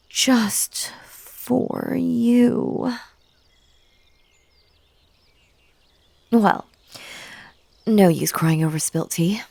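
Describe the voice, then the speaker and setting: A woman's voice: medium pitch, vocal fry, a little mellow, slightly rough, no echo, exaggerated voice. A woman playing an unhinged, lovesick bunny girl in an intimate audio roleplay, coaxing and hypnotic, slipping into whispers. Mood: sweetly menacing.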